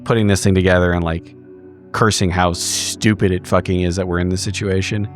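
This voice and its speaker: A man's voice: sits low, steady tone, brisk. A man casually improvising his character's actions as a player in a tabletop roleplaying game, swearing now and then. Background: background music.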